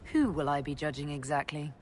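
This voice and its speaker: A slightly low actress voicing a heroic woman leader in a fantasy game. She is direct and dry, with faint noise behind her. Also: a little fast, natural voice. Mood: dry, wary. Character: steady, dry-witted female heroic leader. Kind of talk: video game dialogue acting.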